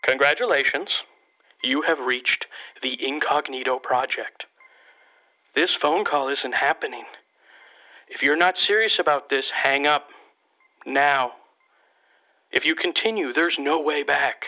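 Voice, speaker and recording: An actor playing the theatrical, teasing recorded voice of a secretive covert project on an answering machine. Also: male voice, on the high side, measured pace, pauses often, natural voice. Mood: ominous. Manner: dramatic, teasing, abrupt shifts from menace to cheer. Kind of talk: recorded phone greeting in audio drama. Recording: dull lo-fi answering machine, music behind.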